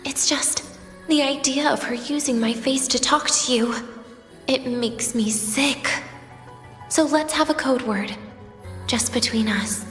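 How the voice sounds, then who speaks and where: An actress playing a wistful young student in a game drama, bitter yet tender, speaking softly and lingeringly, at times slipping into a whisper. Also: female voice, high voice. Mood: serious, confiding, then tender, gentle. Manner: soft, slow, lingering, at times breaking into whispers. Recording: echoey long reverb with music behind.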